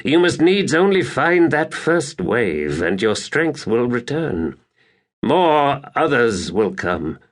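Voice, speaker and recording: A man's voice: medium pitch, sing-song, slow, pitched above the performer's own voice. A man voicing a victorious, silver-tongued warrior commander in a fantasy audiobook, speaking in a grand, formal, declamatory way. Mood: reassuring, urging. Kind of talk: dramatic audiobook character reading.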